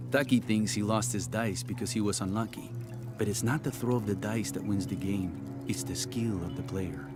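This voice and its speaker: Give the game a proud exiled gambler, played by a man, with a low voice and a measured delivery. He philosophizes and teases like someone who knows the rules better than you, with music under him. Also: natural voice. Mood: teasing, wise. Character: proud cast-out man, sly dice player turned rebel informant. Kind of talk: video game cutscene acting.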